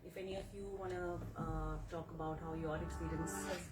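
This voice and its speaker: A woman's voice: sits low, fairly even intonation, brisk, over loud music. A woman giving a casual, chatty presentation at a welcome event, with fillers and asides. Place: office meeting room. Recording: echoey room, mic far off, background noise.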